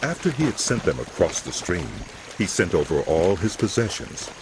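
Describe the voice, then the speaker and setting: A man narrating a scripted Bible drama in a solemn, measured storytelling manner, with music behind him. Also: low voice, sing-song, background noise.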